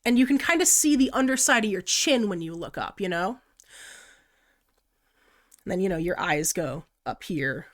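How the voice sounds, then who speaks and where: A woman's voice: on the low side, animated, moderate pace, long pauses. A young woman with a stuffed-up nose from the flu, casually explaining her digital art process on a live stream in a chatty, giggly way. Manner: chatty and giggly, often reading chat aloud.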